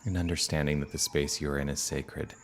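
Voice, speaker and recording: A man speaking as a minister leading a guided meditation, calm and unhurried, with long soothing pauses. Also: rumbling low, faint music behind. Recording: birdsong and outdoor ambient noise behind. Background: birdsong.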